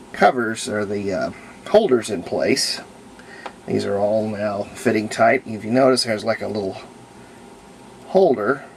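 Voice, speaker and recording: A man giving a casual, unscripted hands-on demonstration as a hobbyist restorer, thinking aloud in an unhurried way. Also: mid-range voice, a little hiss or hum. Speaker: hobbyist restorer of antique radios, presenter.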